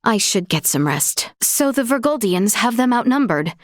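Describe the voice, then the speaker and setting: Formal, high-voiced young noblewoman. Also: very animated, moderate pace, dry recording, studio quality. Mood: polite, inquisitive. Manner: formal, old-fashioned courtly phrasing, precise. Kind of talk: video game character dialogue.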